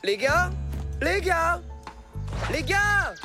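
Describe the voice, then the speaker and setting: A man voicing a scheming, boastful male fox in a cartoon, with a bossy, excitable delivery. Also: extremely high pitch, drawn-out words, over music, exaggerated voice. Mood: alarmed. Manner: bossy, excitable, self-satisfied. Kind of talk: cartoon dub acting.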